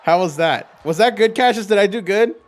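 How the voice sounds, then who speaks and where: A young man hosting a game stream, chatting casually and commenting on the play in a slangy way that trails off into quick restarts. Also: high-pitched. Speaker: streamer host.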